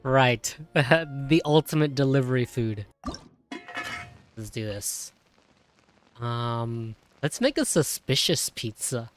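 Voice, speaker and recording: A woman's voice: a bass voice, slow, long pauses. A woman giving casual, playful live commentary on a game playthrough in slangy, reactive speech. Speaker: gaming content creator. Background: game music.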